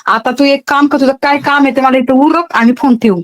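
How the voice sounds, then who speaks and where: Woman, Maharashtrian accent, high voice, nagging and interrogating in a comic phone argument. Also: steady tone, fairly quick. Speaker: social media video creator taking a fan's call.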